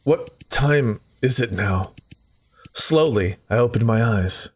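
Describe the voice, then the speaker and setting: A man's voice: mid-range voice, expressive, takes it slowly, a little hiss or hum. A man doing a let's play, dramatically reading visual novel text aloud and breaking off for joking asides. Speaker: gaming video host.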